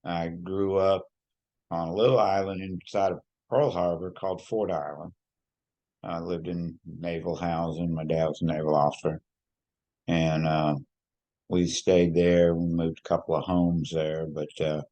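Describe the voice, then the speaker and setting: A man in his sixties from Hawaii, telling his life story in an unhurried, reflective way, with many fillers and restarts. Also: a bass voice, warm tone. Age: 60s. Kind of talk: personal storytelling.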